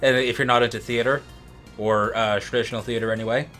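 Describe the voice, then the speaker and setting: A man's voice: mid-range voice, fairly even intonation, quick pace, fairly bright tone, faint music behind. A man from the United States co-hosting a let's play in casual, rambling chat that often restarts its phrases. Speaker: let's play co-host and gamer. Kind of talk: casual gaming chat and commentary.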